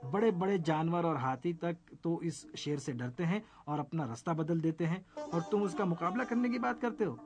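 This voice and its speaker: A man plays a spokesman for the worried animals of the jungle in a storybook fable. The animal is earnest and formal, pleading in a somewhat high male voice with music clearly underneath. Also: animated, normal speed, hardly any pauses. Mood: scolding. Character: jungle animals, anxious subjects of a tyrant. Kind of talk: children's storybook character voice.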